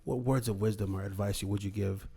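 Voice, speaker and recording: A man hosting a casual podcast interview, supportive and quick with affirmations. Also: fairly low pitch, steady tone, mellow, husky. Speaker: podcast host.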